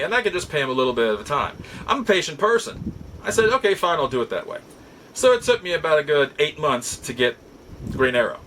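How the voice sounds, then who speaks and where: A middle-aged man telling stories casually as a host, halting and often backtracking to repeat his phrases. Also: mid-range voice, bright, forceful tone, slight background noise, rough recording. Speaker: podcast host and collector. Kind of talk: casual rambling storytelling.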